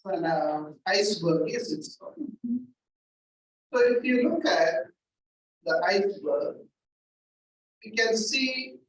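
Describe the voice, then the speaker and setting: A male chaplain with an accent giving a deliberate teaching talk, putting questions to his listeners. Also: high voice. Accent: non-native English accent. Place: large echoey hall. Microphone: distant from the mic. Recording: echoey hall from far off, faint noise.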